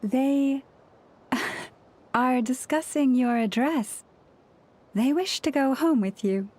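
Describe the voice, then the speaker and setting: A woman playing a gentle, gracious young maiden in a video game, speaking softly and poetically. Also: sits high, slow pace. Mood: softly amused.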